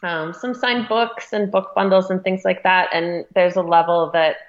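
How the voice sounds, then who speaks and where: A woman with a fairly low voice chats casually in an interview. She speaks informally and works out her thoughts as she talks. The recording is a little dull and lo-fi. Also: steady tone, normal speed. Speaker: magazine editor being interviewed.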